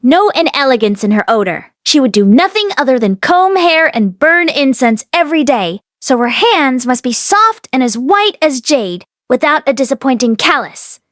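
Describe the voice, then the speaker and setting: A woman's voice: sits high, sing-song, normal speed, hardly any pauses, fairly bright tone, no echo, studio quality. A woman reading a novel aloud as scripted audiobook narration. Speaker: audiobook reader.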